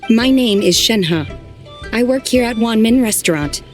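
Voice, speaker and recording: A woman playing a calm, polite, literal-minded young adeptus disciple in a video game, speaking in a measured, earnest way. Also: a little high, faint music behind. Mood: calm, puzzled.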